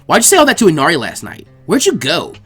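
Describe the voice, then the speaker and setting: A man's voice: a little high, wildly swooping pitch, fast talker, a bit bright, breathy edge, faint music behind, heavily acted. A man voicing a feisty young girl ninja who is badgering and indignant, in a story reading. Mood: nagging.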